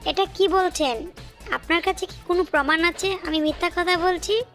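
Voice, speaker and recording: A woman's voice: very high-pitched, normal speed, a bit bright, faint music behind. A woman playing a sly, scheming animal wife in a cartoon, cunning and insistent. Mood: defiant, vengeful. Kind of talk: cartoon dialogue.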